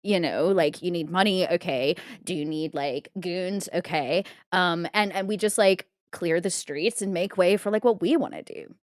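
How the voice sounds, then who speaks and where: A woman's voice: lowish voice, normal speed, hardly any pauses, dry recording, clean studio sound, close to an everyday voice. A woman playing a spoiled, ditzy young crime heiress in a tabletop roleplay, with breezy valley-girl talk full of filler words. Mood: breezy, bargaining.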